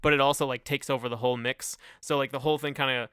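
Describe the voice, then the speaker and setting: A man's voice: medium pitch, steady tone, fast talker, pushed and bright, clear, resonant tone, no echo, clean studio sound. A man co-hosting a podcast in casual chat, talking in a hesitant, rambling way full of hedges. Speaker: podcast co-host.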